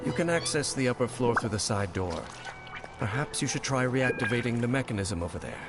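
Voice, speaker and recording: An actor playing a calm, watchful guide in a video game, speaking in a measured, formal and serious way. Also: male voice, medium pitch, natural voice. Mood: calm, instructive. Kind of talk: scripted game dialogue. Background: game music.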